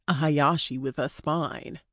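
A woman's voice: low voice, fairly even intonation, takes it slowly, a bit bright, dry recording, clean studio sound, pitched below the performer's own voice, heavily acted. A woman voicing a hard, mocking male kidnapper. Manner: curt, mocking commands.

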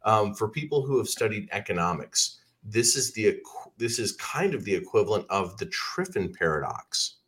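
A man hosting a talk show, giving deliberate conversational commentary and often repeating words as he hesitates. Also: on the low side, big pitch swings, a bit bright.

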